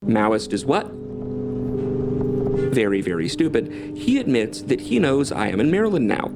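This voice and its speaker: Animated male commentator over loud music. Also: mid-range voice, normal speed. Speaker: video commentator. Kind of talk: narrated video commentary. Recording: loud music under a played video.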